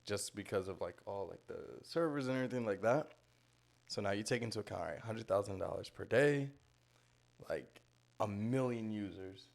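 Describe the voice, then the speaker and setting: A man co-hosting a casual podcast speaks in a somewhat low voice. He talks animatedly and thinks out loud, working through ideas as he goes. His laugh comes easily. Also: lively intonation, normal speed, creaky. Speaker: podcast co-host.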